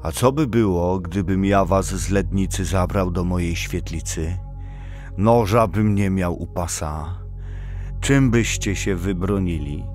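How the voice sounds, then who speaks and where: Low-voiced bold Slavic warrior. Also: male voice, unhurried, darkish tone, faint music behind. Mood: brooding, insistent. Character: bold Slavic warrior, hearty, stubbornly in love. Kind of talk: dramatic audio play acting.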